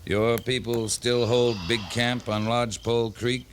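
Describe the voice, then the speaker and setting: An actor playing a tough, steady cavalry captain in a 1950s radio western, terse and matter-of-fact. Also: male voice, mid-range voice, a little slow, a little hiss or hum, natural voice. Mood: calm, bargaining.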